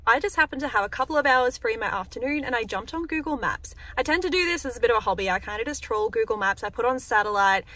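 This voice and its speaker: A woman's voice: a little high, expressive, quick pace, hardly any pauses, sharp tone, no echo. A young woman from Australia, vlogging casually to camera in an upbeat, chatty way, speaking into a phone held close in a parked car. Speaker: travel vlogger.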